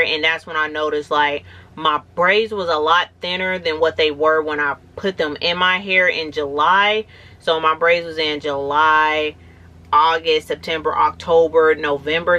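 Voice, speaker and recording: Thirties woman vlogger, slightly hoarse and raspy, bright tone, casual rambling chat. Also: sits low. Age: 30s. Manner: rambling, conversational, many "like"s. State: hoarse from a cold, with braces. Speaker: natural hair vlogger. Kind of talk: casual talking-to-camera vlog.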